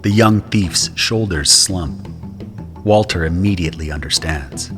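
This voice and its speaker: A man delivers scripted first-person narration for an audio drama. His voice is very low, and music runs clearly underneath it. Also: a little slow.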